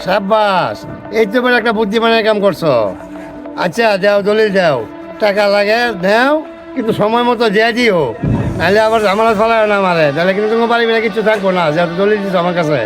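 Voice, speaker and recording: A man's voice: high-pitched, fast talker, lightly acted. A man acting a shrewd, commanding village moneylender in a drama, with a rural Bangladeshi accent. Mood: calculating. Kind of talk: naturalistic Bengali TV drama acting. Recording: music behind the dialogue.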